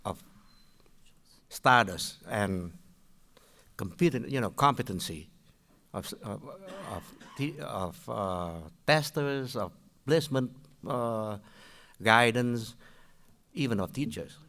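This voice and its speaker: An older man from Vietnam with a Vietnamese accent, asking questions at a public meeting in a halting way, with many restarts. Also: mid-range voice, lively intonation, bright, forceful tone. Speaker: public commenter.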